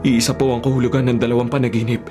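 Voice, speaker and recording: An actor playing a humble, devout Hebrew dream interpreter in a respectful, earnest radio drama style. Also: male voice, mid-range voice, little pitch movement, rapid-fire, natural voice. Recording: radio drama over clear background music.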